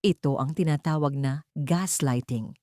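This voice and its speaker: Low-pitched woman narrating drama. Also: measured pace, dark tone, no room sound, studio quality. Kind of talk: dramatic scripted audio story narration.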